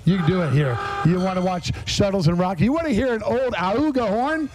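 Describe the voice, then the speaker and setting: A man's voice: high-pitched, a little fast. A man hosting a casual radio interview on location, chatty and emphatic, speaking straight to his listeners. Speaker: podcast host. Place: on location at a garage restaurant.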